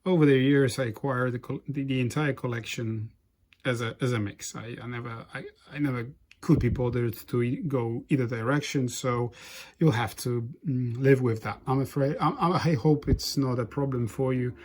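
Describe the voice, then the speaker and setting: A man whose first language is Polish, hosting a board game playthrough in casual, halting commentary. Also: mid-range voice, fairly even intonation, darkish tone. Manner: halting, frequent restarts and fillers. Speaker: board game channel host.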